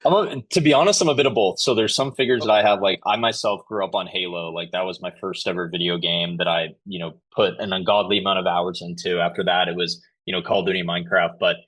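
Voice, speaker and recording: A man's voice: low voice, fairly even intonation, fast talker, few pauses, fairly bright tone. A man answering interview questions in a conversational, explanatory way, often saying "you know". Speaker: podcast guest, company chief executive.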